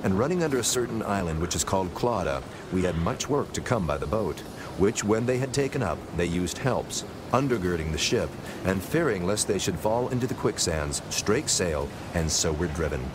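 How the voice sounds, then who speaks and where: A man narrating scripture from a script in a measured, solemn manner, as part of a dramatized reading. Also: low-pitched, lively intonation, noisy background.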